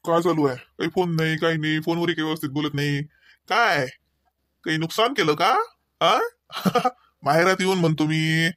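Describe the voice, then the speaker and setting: Man voicing a teasing, high-voiced cartoon husband. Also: normal speed, fairly bright tone, no echo, lightly acted. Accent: Vidarbha Marathi. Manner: chatty, mock-reproachful. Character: teasing, needling young husband at his in-laws. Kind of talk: comedy cartoon dialogue.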